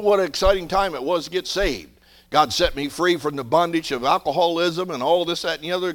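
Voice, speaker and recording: A man in his sixties with a high-pitched voice, preaching as a pastor. He surges to forceful emphasis and then falls back to low, quiet asides, with the odd stammered restart as he builds a point. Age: 60s. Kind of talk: sermon.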